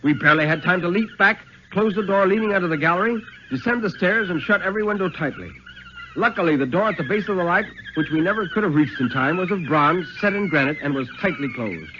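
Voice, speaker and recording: A man giving a theatrical, suspenseful dramatic reading of a first-person thriller in 1950s radio style, with deliberate phrasing. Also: high-pitched, animated, pushed and bright, a little hiss or hum. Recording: dull vintage 1950s radio recording.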